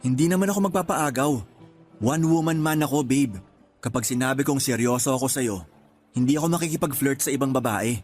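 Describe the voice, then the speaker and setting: A man's voice: mid-range voice, brisk, darkish tone, soft background music, natural voice. A man with a Filipino accent playing a devoted, teasing young boyfriend in a conversational radio drama. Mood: reassuring. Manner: sweet-talking, reassuring.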